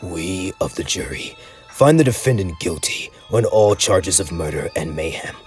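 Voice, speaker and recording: A man voicing a zombie-like male jury foreman with a croaky, almost inhuman voice. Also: deep voice, animated, moderate pace, soft background music, pitched below the performer's own voice. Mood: grim.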